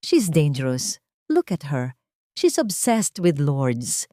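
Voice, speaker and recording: A woman voicing a scheming, cruel doctor's wife who whispers threats in a falsely calm tone. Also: low voice, takes it slowly, no room sound, clean studio sound, full character voice. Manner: soft menacing whisper.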